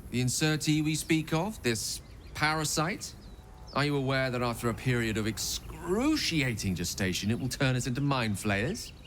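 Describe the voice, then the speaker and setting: A man's voice: mid-range voice, animated, normal speed, a bit bright, slight background noise, natural voice. A man playing a genteel, erudite wizard in a video game, wordy, courteous and wryly witty in his phrasing. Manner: eloquent, flowery, playful phrasing. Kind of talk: theatrical video game dialogue acting.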